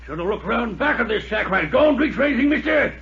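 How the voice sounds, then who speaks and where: Casting: crusty old prospector, very high, swooping voice, wary, vintage radio drama, muffled and noisy. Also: male voice, lively intonation, quick pace, exaggerated voice. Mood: wary, threatening. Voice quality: creaky, gravelly. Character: wary, crusty old male desert miner. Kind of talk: 1950s radio drama character acting.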